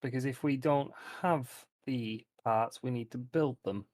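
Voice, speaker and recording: A man's voice: mid-range voice, measured pace, a little mellow, no echo. A man playing a tabletop roleplaying game, casually planning the next move with other players.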